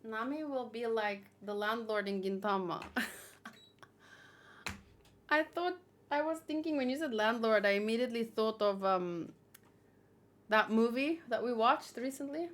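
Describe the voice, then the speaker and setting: A woman doing casual livestream commentary, reading aloud and reacting in a chatty, expressive way. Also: fairly high pitch, a little slow, long pauses. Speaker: manga read-along streamer.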